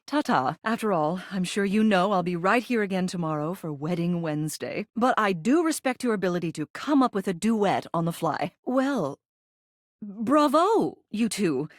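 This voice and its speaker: A woman's voice: mid-range voice, lively intonation, moderate pace, no room sound, studio quality, close to an everyday voice. Woman playing a theatrical, haughty lady critic with swooping intonation. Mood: pleased, appraising. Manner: theatrical, arch, drawling emphasis. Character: living ceiling, theatrical lady arts critic, haughty yet fond. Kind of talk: video game character voice acting.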